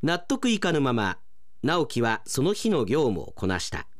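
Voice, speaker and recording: A man's voice: medium pitch, big pitch swings, measured pace, dry recording, studio quality. A man narrating a scripted radio serial in a theatrical, suspenseful storytelling manner. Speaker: radio announcer performing a drama. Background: music under the voice.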